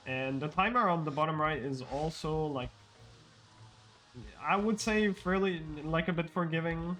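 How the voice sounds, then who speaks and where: Casual: guy talking thru his speedrun live, kinda higher voice, loose and rambly. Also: a little slow, a bit bright. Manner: casual, explanatory, frequent fillers and restarts.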